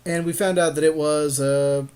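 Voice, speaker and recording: A man's voice: a little high, steady tone, moderate pace, darkish tone, clear, resonant tone. A man co-hosting a gaming podcast, chatting and commenting on card play in slangy, sweary casual talk. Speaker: podcast co-host playing a card game. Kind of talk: casual game commentary with friends.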